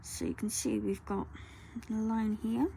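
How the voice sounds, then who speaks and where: A woman, talking softly through a colour-along tutorial and often dropping to a whisper. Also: a little high, level tone, measured pace, creaky, noisy background. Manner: soft, rambling, often drops to a whisper. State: croaky voice.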